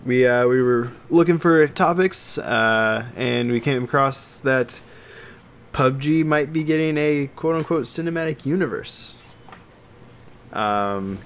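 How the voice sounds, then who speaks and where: A man co-hosting a podcast in casual conversation, with a somewhat halting, hedging delivery. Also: medium pitch. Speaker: podcast co-host. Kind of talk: casual chat. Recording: noticeable background noise.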